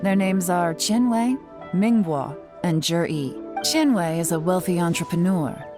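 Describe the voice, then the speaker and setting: An actress playing a confident, teasing young covert operative in a video game, with a sly, unhurried delivery. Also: female voice, on the low side, animated, over music. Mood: businesslike. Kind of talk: scripted video game dialogue.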